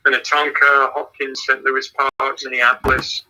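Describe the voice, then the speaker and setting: A man with an English accent, a missions pastor, answering interview questions in a measured way and often repeating words as he thinks. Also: mid-range voice, monotone, pushed and bright, slight background noise, poor audio. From England. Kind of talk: casual phone interview answers.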